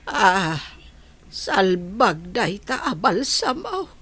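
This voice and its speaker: A woman playing a sickly, fretful old village grandmother in a radio drama, with a creaky, husky voice and a plaintive way of talking. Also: medium pitch, big pitch swings, a bit bright, exaggerated voice. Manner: slow, plaintive, complaining. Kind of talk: Ilocano radio drama acting.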